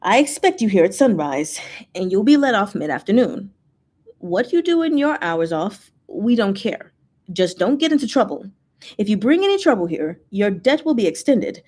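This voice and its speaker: A woman voicing a strict ghost who runs gambling den staff, brisk, firm and businesslike, in a storybook reading. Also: medium pitch, sing-song, a little mellow. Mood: stern.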